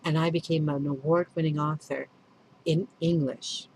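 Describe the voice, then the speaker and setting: A woman's voice: low-pitched, fairly even intonation, moderate pace, darkish tone, husky, low-quality recording. A woman whose first language is Urdu, a children's author speaking candidly and earnestly in a reflective vlog, often restarting and correcting herself. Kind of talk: reflective vlog answering questions.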